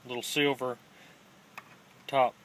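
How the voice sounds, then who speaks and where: A man with a middle-pitched, flat voice walks through a hands-on demonstration. He talks plainly and haltingly, unscripted, like a casual how-to host. It is a home phone recording with faint noise behind him. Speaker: host of a scrapping how-to channel. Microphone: handheld phone, filming one-handed.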